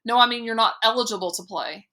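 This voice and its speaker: A woman reading an awkward, apologetic soccer coach. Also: a little high. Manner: halting, bureaucratic, then softly apologetic.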